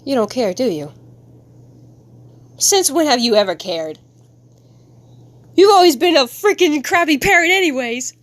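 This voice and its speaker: A woman's voice: fairly high pitch, big pitch swings, a little slow, pauses often. A woman voicing an upset child who bitterly accuses a parent in a whiny, emotional comic dub.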